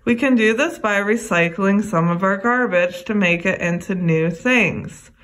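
A young adult woman reading a children's educational book aloud, addressing young listeners in a deliberate way. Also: mid-range voice, a bit bright. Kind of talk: read-aloud children's lesson.

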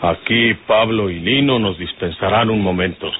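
A man's voice: lowish voice, moderate pace, natural voice. An actor playing a stern, suspicious outlaw with a fatherly, folksy, probing manner and a Mexican accent in an old radio drama. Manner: probing, folksy, repeats himself. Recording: noisy, narrow-band old radio recording.

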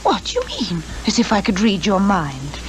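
An English actress playing a timid, fretful, admiring secretary to a detective in a 1950s radio drama. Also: female voice, mid-range voice, wildly swooping pitch, normal speed, noisy background. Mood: anxious, curious. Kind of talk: 1950s British radio acting. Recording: 1950s radio recording with music behind.

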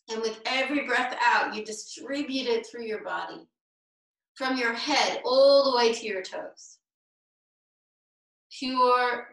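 A woman with a fairly high, very bright voice leads a guided meditation at an unhurried pace. She delivers short, deliberate phrases with space between them. The sound is roomy, picked up over a video call. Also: far from the mic. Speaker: meditation teacher.

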